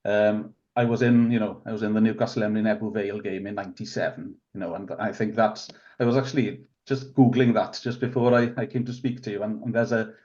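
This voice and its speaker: A man in his forties with a Welsh accent, Welsh as his first language, talking in a relaxed, rambling way as a guest on a rugby podcast, full of restarts and fillers. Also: on the low side, a little fast, few pauses, lo-fi sound. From Wales. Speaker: rugby club committee member, guest. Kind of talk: casual podcast interview.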